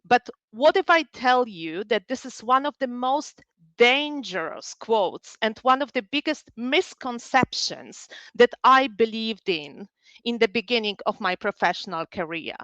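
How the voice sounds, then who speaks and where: A woman's voice: on the high side, lively intonation, a little slow, a bit bright, no room sound, muffled recording. A woman in her forties from Poland, giving a personal storytelling talk as a learning and development trainer in an online workshop.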